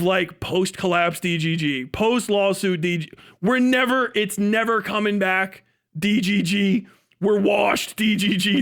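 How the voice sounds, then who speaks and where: A man with a high-pitched voice hosts a livestream. He reacts casually, swears freely and keeps a derisive, mocking edge. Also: a bit bright. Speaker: host, livestream commentator. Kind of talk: profane casual reaction commentary.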